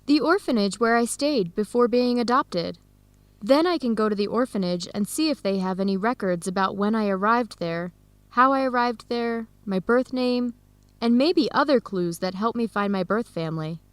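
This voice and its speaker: A hopeful young woman played by an actress in a radio drama. She speaks sincerely and deliberately in a fairly high voice, recorded dry without room echo. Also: animated, natural voice. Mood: earnest. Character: hopeful young woman adoptee searching for her birth family. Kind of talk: educational radio drama acting.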